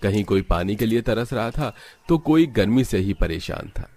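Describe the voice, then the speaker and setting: Low-voiced man narrating a story. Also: animated, normal speed, a little mellow. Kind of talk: scripted cartoon story narration.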